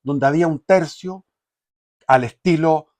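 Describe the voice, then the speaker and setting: Halting man lecturing on history. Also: on the high side, darkish tone. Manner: halting, many restarts and fillers. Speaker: history commentator.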